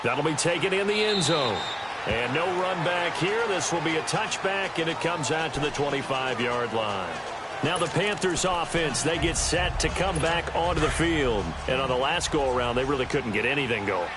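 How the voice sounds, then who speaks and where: A man's voice: a little high, lively intonation, normal speed, lightly acted. A man acting as a polished football play-by-play announcer in a video game, with punchy, clipped calls. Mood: measured, professional. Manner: punchy, clipped calls that swell on big plays. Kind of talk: sports play-by-play commentary. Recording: loud game stadium noise behind.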